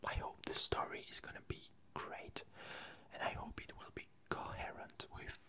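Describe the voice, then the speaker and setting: An ASMR creator rambling casually in a soft, breathy, unvoiced whisper, halting and unhurried while searching for words. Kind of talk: whispered ASMR ramble about games and films.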